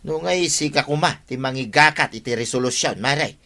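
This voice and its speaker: A man's voice: medium pitch, fairly quick, sharp tone. A man playing a nosy, griping village resident in a comedy drama. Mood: scheming.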